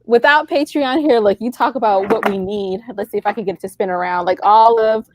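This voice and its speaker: A woman's voice: mid-range voice, a little fast, a little mellow, slight background noise, rough recording. A woman chatting casually as a guest on a live podcast. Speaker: podcast guest, audio drama writer and producer. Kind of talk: casual chat.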